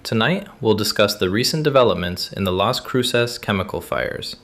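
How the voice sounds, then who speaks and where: A man's voice: on the low side, animated, moderate pace, a bit bright. A man playing a somber evening news anchor, reading a scripted bulletin in a formal, solemn manner.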